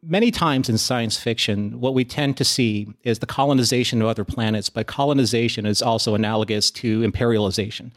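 A man co-hosting a casual discussion podcast, laying out long, measured, analytical arguments. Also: medium pitch. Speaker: podcast co-host.

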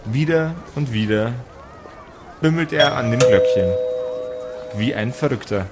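A man's voice: mid-range voice, unhurried, faint music behind. A man acting as game master, narrating an improvised tabletop role-playing story.

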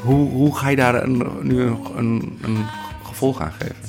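A man's voice: medium pitch, fairly even intonation, normal speed, music in the background. A man interviewing someone casually, asking informal, direct questions.

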